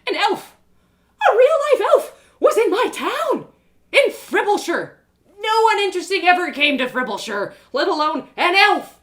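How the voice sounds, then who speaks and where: An eager, naive young farm woman from a storybook, played by a woman reader. Her voice jumps high and swoops widely, with breathless stammers and a yearning for adventure. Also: big pitch swings, measured pace, fairly bright tone, exaggerated voice. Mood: thrilled.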